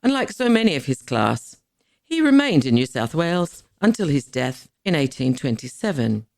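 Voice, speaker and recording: Creaky-voiced woman narrating history. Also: sits low, big pitch swings, a little mellow, no room sound, studio quality. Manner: measured, explanatory, poses questions to the listener. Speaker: podcast host and narrator. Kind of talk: scripted history podcast narration.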